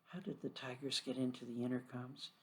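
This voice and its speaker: A man voicing a timid, easily awed little boy in a children's storybook, hesitant and soft-spoken. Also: medium pitch, a little fast, slight background noise, natural voice. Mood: awed, wondering. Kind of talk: children's storybook read aloud.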